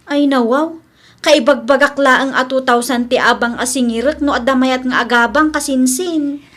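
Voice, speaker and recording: Hardworking, trusting Filipino wife, played by an actress, very high voice, radio drama delivery. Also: female voice, steady tone, normal speed, fairly bright tone. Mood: dismayed, protesting. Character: hardworking wife and trusting female cousin starting a business. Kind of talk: Ilocano radio drama acting.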